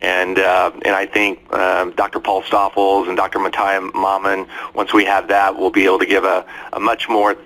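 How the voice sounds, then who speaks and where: A man speaking as a company executive answering on an earnings call, in measured, hesitant corporate phrasing. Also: deep voice, completely flat delivery, fairly bright tone, rough recording.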